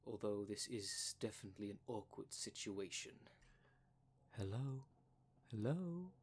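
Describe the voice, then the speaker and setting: A man's voice: on the low side, animated, measured pace, husky. A man playing a courteous, pedantic dream demon in an ASMR roleplay, speaking in formal, wordy, precise phrases. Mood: pedantic, concerned. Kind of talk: ASMR roleplay audio drama.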